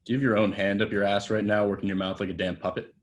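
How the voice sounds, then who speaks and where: A volatile, washed-up young TV star, voiced by a man at a table read swears and rants with bitter contempt. His voice sits low, and his tirades run fast and loose. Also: little pitch movement, close to an everyday voice. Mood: angry. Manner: profane, ranting, bitter. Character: washed-up hotshot young male TV actor, volatile.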